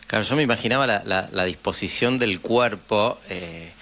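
A man's voice: fairly low pitch, normal speed, pushed and bright. A man with a Rioplatense Argentine accent, a radio interviewer who forms his questions hesitantly, repeating words as he goes.

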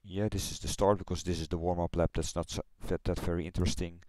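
A middle-aged man with a Dutch accent, chatting loosely through a replay of his racing game run, halting and correcting himself as he goes. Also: sits low, little pitch movement, mellow, dry recording. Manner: halting, rambling, self-correcting. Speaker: sim racing streamer. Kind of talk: casual replay commentary. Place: home gaming room.

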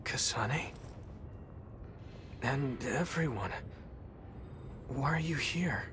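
A young man playing an earnest, gentle young soldier hero in an anime game. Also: mid-range voice, lively intonation, drawn-out words, background noise. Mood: dazed, surprised. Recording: dramatic music behind voice.

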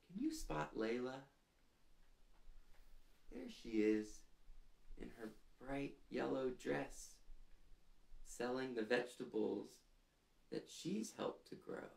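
Male storytime reader, 30s, unhurried and gentle, slightly creaky voice, recorded in a roomy space. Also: mid-range voice. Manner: gentle, deliberate, addressing young children. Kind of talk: children's picture-book read-aloud with questions to listeners. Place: small indoor living room, seated. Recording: roomy echo.

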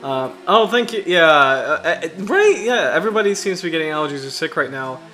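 A man in his thirties from the US Chesapeake Bay region, hosting a casual livestream in a halting, self-deprecating way, his throat slightly raspy from allergies. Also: a little high, very animated, sharp tone. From United States, Chesapeake Bay region. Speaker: livestream host.

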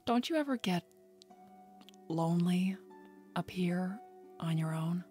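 A woman's voice: lowish voice, animated, slow pace, dark tone, soft background music. A woman playing a flirty, sassy, coy adult bat thief in a fan-made cartoon. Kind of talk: fan-made animated series voice acting.

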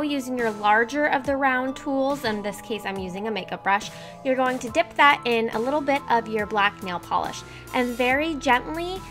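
A young woman in her twenties chatting upbeat through a nail art tutorial in her bedroom, with self-mocking asides. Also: high-pitched. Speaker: beauty vlogger. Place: bedroom, indoors.

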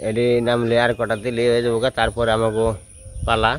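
A man's voice: mid-range voice, completely flat delivery, brisk, slight background noise. A man chatting casually behind the camera while he films village work outdoors, asking questions as he goes. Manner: chatty, asks relatives questions. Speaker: vlogger filming village work.

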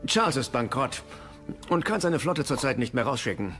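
Commanding dutch statesman, persuasive, very varied pitch, docudrama, faint music. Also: big pitch swings, normal speed, a bit bright. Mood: determined. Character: resolute, persuasive Dutch statesman urging attack. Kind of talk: dramatised history documentary dialogue.

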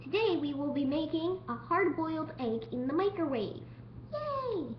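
A woman's voice: high voice, big pitch swings, normal speed. A woman hosting a homemade cooking demonstration in a home kitchen, talking unscripted and sometimes stumbling over her words. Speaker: cooking show host. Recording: dull lo-fi home video with noise.

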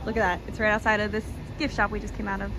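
A woman's voice: medium pitch, level tone, racing, very noisy. A young woman filming a casual, chatty travel vlog on a handheld phone, gushing over shops and places. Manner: bubbly and chatty, lots of gushing. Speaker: vlogger. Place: outdoors and in shops at a beach town, later in a car. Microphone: handheld phone camera.

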